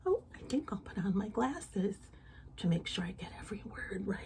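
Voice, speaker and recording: A woman reading a children's story aloud, gentle and expressive, chatting to her young listeners as she goes. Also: mid-range voice, moderate pace, a little hiss or hum.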